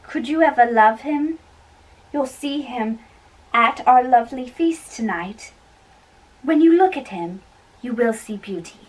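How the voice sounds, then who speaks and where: Coaxing lady of the house, stage play, amateur woman reader: highish, measured, distant mic. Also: animated. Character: persuasive mother, lady of the house.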